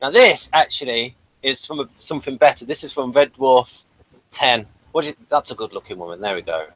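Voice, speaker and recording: Male review host, mid-pitched voice, casual and conversational, halting delivery. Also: fairly bright tone, low-quality recording. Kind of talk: casual chat in a remote co-hosted review.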